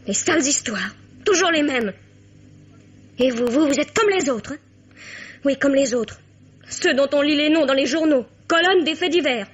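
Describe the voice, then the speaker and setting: A teenage girl in a vintage radio mystery. Her voice is very high, impassioned and accusing, full of wounded pride. The sound is dull and lo-fi. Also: lively intonation, moderate pace, long pauses. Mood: wounded, bitter. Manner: accusing, impassioned, formal address. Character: indignant, wounded teenage girl confronting her father's mistress. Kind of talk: 1950s French radio mystery acting.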